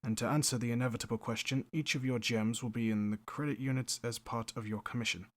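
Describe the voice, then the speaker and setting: A man playing a composed steward in an audio drama, with a measured, formal and wry delivery. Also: mid-range voice, steady tone, natural voice. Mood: matter-of-fact. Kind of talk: audio drama narration and acting.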